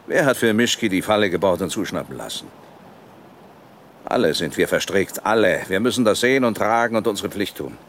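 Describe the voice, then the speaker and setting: An actor playing a ruthless, defiant older company boss in a German radio drama, arguing forcefully and with contempt. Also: male voice, on the low side, animated, a little fast, a little hiss or hum. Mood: defiant, cold. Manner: forceful, rhetorical, self-justifying.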